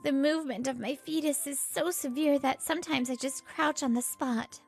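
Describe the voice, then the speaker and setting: A woman's voice: sits high, steady tone, normal speed, vocal fry, soft background music. A woman playing an exasperated young pregnant housewife in a manga dub, complaining expressively and narrating her troubles. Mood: aggrieved.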